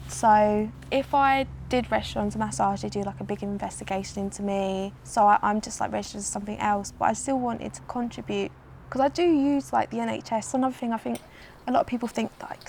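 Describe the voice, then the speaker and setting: High-pitched woman with a creaky edge. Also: fairly even intonation, a little fast, darkish tone, slight background noise. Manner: casual, frequent "like" fillers. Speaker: interviewee. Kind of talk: documentary interview.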